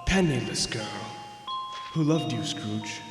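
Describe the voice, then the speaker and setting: Echoing, slow, solemn ghostly spirit played by a man. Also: medium pitch, animated. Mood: accusing. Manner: solemn, deliberate, reproachful. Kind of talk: radio drama acting. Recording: echoey hall reverb, music behind.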